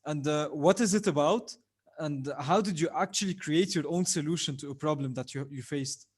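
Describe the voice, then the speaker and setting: A man's voice: a little high, moderate pace, breathy edge. A man hosting a podcast, greeting his guest and asking questions with encouraging enthusiasm. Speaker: podcast host. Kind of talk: casual interview.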